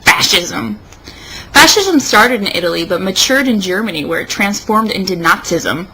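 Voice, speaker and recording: Young american woman, bright forceful voice, reading stuff out mock dramatic, bit of background noise. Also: mid-range voice, animated, normal speed, poor audio. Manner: sarcastic, emphatic delivery. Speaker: co-host. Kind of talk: mock-dramatic reading aloud with casual banter.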